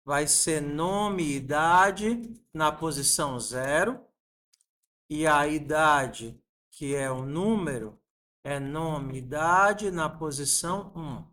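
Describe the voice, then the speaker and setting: A man in his forties with a fairly high voice walks students through code. He explains patiently, asks them questions along the way and sprinkles in friendly diminutives. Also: a bit bright. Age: 40s. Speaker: programming teacher. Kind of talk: coding lesson screencast.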